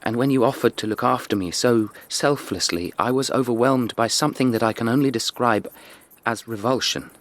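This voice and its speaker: A man's voice: mid-range voice, normal speed, hardly any pauses, fairly bright tone, close to an everyday voice. An actor playing a troubled, frank young upper-class Englishman in a radio drama, with an English accent. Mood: grave, confessional.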